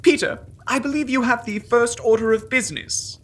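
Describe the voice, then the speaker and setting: A woman playing a pompous, officious Puritan gentleman who leads a club, in a comic audio drama with archaic diction. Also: on the high side, normal speed, natural voice. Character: pompous Puritan gentleman, earnest leader of witch-hunting club.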